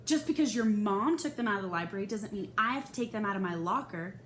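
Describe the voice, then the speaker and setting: A woman's voice: mid-range voice, animated, fast talker, fairly bright tone, close to an everyday voice. A woman voicing an indignant, defiant young schoolgirl in a storybook reading. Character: indignant quiet schoolgirl, rehearsing a defiant comeback. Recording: echoey room with faint hiss.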